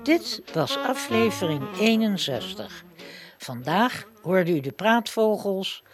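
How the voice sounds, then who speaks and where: Female announcer for scripted radio credits, measured pace, melodic delivery. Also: fairly low pitch, no room sound.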